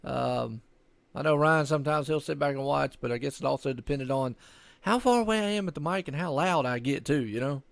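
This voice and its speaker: A man's voice: mid-range voice, a little fast, vocal fry, dry recording. A man co-commentating a sim race in a casual, folksy, rambling way. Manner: folksy, rambling, says "man" and "y'all" often. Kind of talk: casual, chatty live sports commentary.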